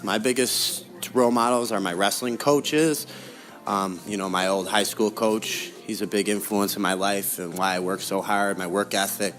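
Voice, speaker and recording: A man's voice: lowish voice, steady tone, moderate pace, fairly bright tone, soft background music. A young adult man answering interview questions in a casual, conversational way as a guest. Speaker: interview guest, professional fighter.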